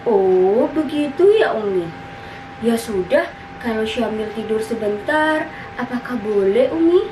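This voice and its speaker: A woman plays an innocent little boy in a children's storybook tale, polite and eager with childlike questions. The voice is slow and sits back in a roomy, noisy recording. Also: a little high, lively intonation, far from the mic. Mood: meek, agreeable.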